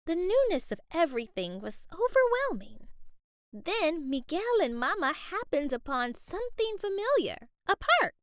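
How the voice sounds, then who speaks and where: A woman narrating a children's picture book aloud in an expressive, carefully paced storytime style. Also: extremely high pitch, unhurried, no room sound.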